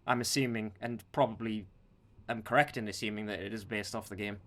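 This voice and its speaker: Casual male podcast co-host, halting delivery. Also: lowish voice, fairly even intonation, a bit bright. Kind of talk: casual chat about films and games.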